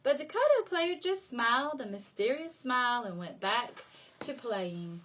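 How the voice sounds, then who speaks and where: A woman reading a children's storybook aloud like a primary school reader, with dramatic emphasis and drawn-out key words. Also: fairly high pitch, sing-song, a bit bright, highs cut off, a little hiss or hum. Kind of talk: expressive children's storybook narration.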